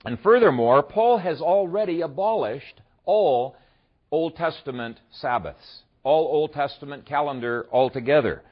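A man from Ethiopia preaching a sermon, conversational and easygoing, often pausing with "uh" as he tells a story and explains scripture. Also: a little high, expressive, highs cut off. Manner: conversational storyteller, frequent "uh" fillers. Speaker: pastor. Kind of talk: sermon with a humorous opening story.

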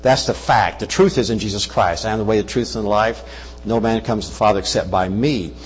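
A man's voice: lowish voice, brisk, in a large hall, slight background noise, low-quality recording. An older man with a Kentucky accent, raised in Brazil, preaching as a guest missionary in a church on a wireless mic, folksy with joking asides. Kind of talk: sermon. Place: church service.

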